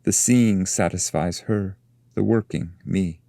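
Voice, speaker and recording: A man voicing a beautiful young lady from a dream in a literary reading, gentle and serene. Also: deep voice, slow pace, creaky, no echo, studio quality, pitched above the performer's own voice, heavily acted. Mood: serene, content. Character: beautiful young dream lady singing while gathering flowers.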